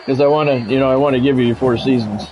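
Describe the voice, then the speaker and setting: An American man doing live play-by-play of a video game football match in a measured announcer style, mixed with casual chat to stream viewers. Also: mid-range voice, steady tone, dark tone. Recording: dull lo-fi sound with music behind.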